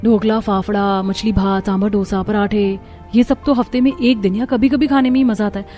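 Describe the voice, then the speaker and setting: A woman's voice: medium pitch, fairly even intonation, moderate pace, dark tone, faint music behind, lightly acted. A woman voicing a polite, earnest young newlywed daughter-in-law in a cartoon.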